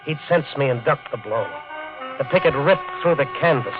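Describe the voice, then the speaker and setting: An intense, brooding man played by an actor, obsessed with buried treasure and close to madness, tells his story in an old radio drama. The sound is thin and tinny like a vintage broadcast, with clear music underneath. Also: mid-range voice, lively intonation, moderate pace, lightly acted. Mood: horrified. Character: obsessed treasure hunter slipping into murderous madness. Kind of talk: 1950 radio drama first-person narration.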